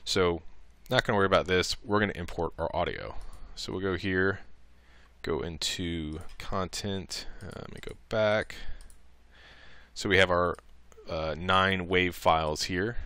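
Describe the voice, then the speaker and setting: A man giving a casual, improvised software tutorial, talking through each click as he goes. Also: low voice, dry recording. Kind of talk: casual step-by-step screen tutorial.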